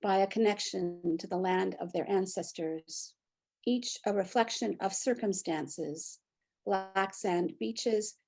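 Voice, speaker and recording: A woman in her sixties from Canada, a poet giving a short spoken introduction and then reading her poem aloud in a reflective, measured way. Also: mid-range voice, a little mellow. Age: 60s. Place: near the Fraser River, British Columbia.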